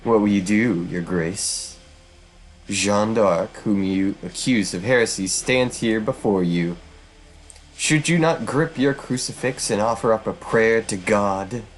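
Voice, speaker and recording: A man's voice: on the low side, measured pace, a little hiss or hum, close to an everyday voice. A man voicing a vengeful witch woman from hell, speaking in a theatrical, formal and taunting way. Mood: mocking.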